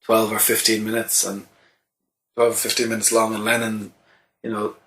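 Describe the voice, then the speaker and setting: An Irish songwriter answering interview questions in a thoughtful, halting way, with many restarts. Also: male voice, fairly low pitch, monotone, a bit bright, low-quality recording. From Ireland. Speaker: songwriter guest.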